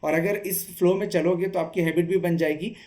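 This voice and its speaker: A man's voice: high-pitched, steady tone, fairly quick, a little mellow. A man giving an instructional study-strategy talk as an exam coach, explaining step by step and often checking in with short tag questions. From Chhattisgarh, India.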